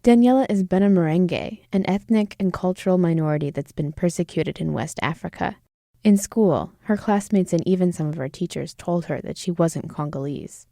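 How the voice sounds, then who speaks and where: Mellow-voiced female reporter. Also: lowish voice, normal speed, dry recording, studio quality. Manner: clear, explanatory narration. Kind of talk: scripted radio narration.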